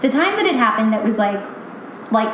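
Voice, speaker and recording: A woman's voice: a little high, sing-song, fairly quick, a bit bright, reverberant, a little hiss or hum. A woman hosting a podcast, chatting casually with frequent laughs and fillers. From Los Angeles. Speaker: podcast host and author. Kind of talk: casual chat.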